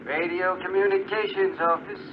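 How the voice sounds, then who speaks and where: An actor playing a smug, tough gangster heard over a telephone, in 1950s radio drama style. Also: male voice, sits high, level tone, normal speed. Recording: tinny, noisy 1950 radio recording.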